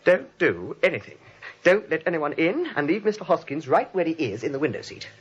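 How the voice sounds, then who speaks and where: A man's voice: fairly high pitch, big pitch swings, a little fast. A man playing a flustered young theatre critic in a 1940s radio comedy, stammering and repeating himself. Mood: urgent. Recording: dull old radio drama recording.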